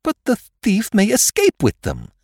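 A man acts out an eager gentleman sidekick in a storybook mystery. He is impatient and easily flustered, his emphatic voice jumping high and swinging widely. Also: normal speed, creaky, dry recording, clean studio sound, exaggerated voice. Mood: alarmed, bewildered. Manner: emphatic, excitable.

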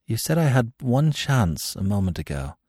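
A man voicing a cynical, world-weary young woman thief in an audiobook story, with a cool, slow delivery. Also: mid-range voice, very animated, darkish tone, slightly rough, no room sound, studio quality, pitched above the performer's own voice. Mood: resigned.

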